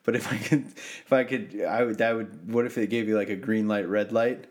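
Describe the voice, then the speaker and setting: Male podcast co-host, lowish voice, informal conversational delivery. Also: fairly even intonation, fairly quick. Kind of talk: casual chat.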